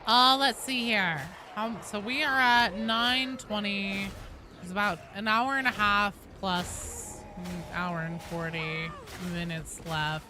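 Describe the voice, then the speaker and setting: A woman's voice: medium pitch, fairly even intonation, slow. A woman game streamer chatting casually and conversationally over gameplay, with some swearing. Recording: noisy game audio behind the voice.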